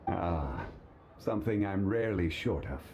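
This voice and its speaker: An actor playing a calm, learned gentleman scholar in a video game, speaking in a measured, formal, refined way. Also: deep voice, vocal fry, noisy background, full character voice. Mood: amused. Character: calm, courtly, learned adult male scholar. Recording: loud game music behind.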